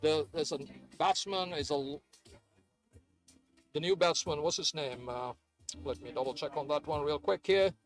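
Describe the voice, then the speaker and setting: A man's voice: fairly high pitch, normal speed, long pauses, faint music behind. A man who grew up in Sri Lanka, giving hesitant, chatty play-by-play of a live cricket match with many fillers. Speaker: cricket commentator.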